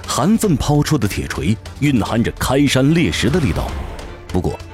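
A man's voice: medium pitch, huge pitch swings, moderate pace. A man narrating a fantasy audio drama from a script in a dramatic storytelling style. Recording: prominent music behind the voice.

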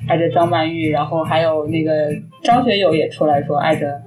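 Young female podcast co-host from Shanghai, lowish pitch, informal inquisitive chat, clear background music. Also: a little fast, a little mellow, low-quality recording. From Shanghai, China. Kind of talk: casual chat. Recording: music clearly playing behind the voice.

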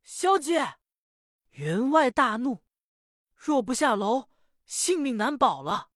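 A woman's voice: sits high, sing-song, takes it slowly, fairly bright tone, no echo, clean studio sound, voice pushed up, exaggerated voice. A woman voicing a young servant girl who urgently relays messages in a traditional storytelling performance. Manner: urgent, breathless reporting.

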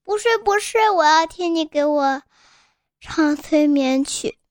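A woman's voice: sits high, expressive, slow. A woman voicing a cheeky, stubborn small child with whiny, innocent-sounding cheek in a comic bedtime sketch.

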